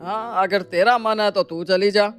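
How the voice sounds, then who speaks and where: A man playing a wise, fair father-in-law who speaks gently but firmly in an animated family story. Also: sits high, unhurried, smooth, soft background music. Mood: firm, caring. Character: wise, fair, affectionate father-in-law, middle-aged or older. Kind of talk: animated Hindi moral story acting.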